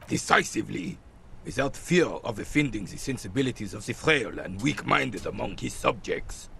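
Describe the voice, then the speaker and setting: An actor playing a rigid, proud old royalist soldier in a video game, with a raspy voice and a pompous, emphatic delivery. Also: male voice, mid-range voice, animated, normal speed, sharp tone, exaggerated voice. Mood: proud, fervent. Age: older. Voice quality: gravelly, raspy.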